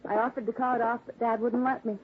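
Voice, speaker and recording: A woman's voice: on the high side, level tone, fast talker, very noisy, natural voice. A woman playing a cheerful young bride-to-be. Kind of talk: 1950s radio drama acting. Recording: tinny old broadcast with party crowd.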